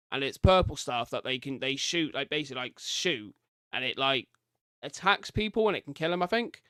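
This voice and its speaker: A man's voice: a little high, a bit bright, clear, resonant tone, no echo. A British man doing informal live reaction commentary as a streamer, reading captions aloud and adding chatty asides. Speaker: streamer reacting to horror videos.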